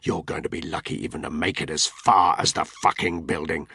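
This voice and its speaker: A man's voice: extremely low pitch, level tone, fairly quick, pushed and bright, husky, dry recording, clean studio sound, heavily acted. A man voicing a menacing intelligence soldier. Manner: hissing threats. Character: tough male British intelligence soldier, menacing.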